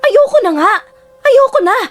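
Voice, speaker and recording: Young woman scammer, acted by a woman, blunt, very high lively voice, radio drama, faint music. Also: normal speed. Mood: conflicted. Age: young adult. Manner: blunt, defensive.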